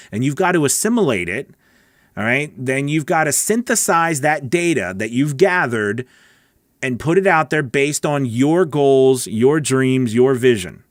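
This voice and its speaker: A middle-aged man hosts a casual question-and-answer stream in a conversational, direct way. His voice sits at an average male pitch. It is captured close on a broadcast mic in a small home studio, with very little room sound. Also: dry recording. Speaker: host, voice-over coach. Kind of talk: casual livestream Q&A chat. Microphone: close broadcast mic on boom arm.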